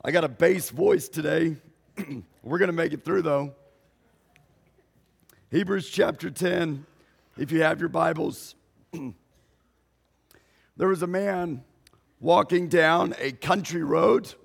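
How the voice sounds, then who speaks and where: A male pastor, telling a story in a sermon slowly and deliberately, raising his voice at dramatic moments. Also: a little high, vocal fry, slightly rough. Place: church sanctuary. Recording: long hall reverb.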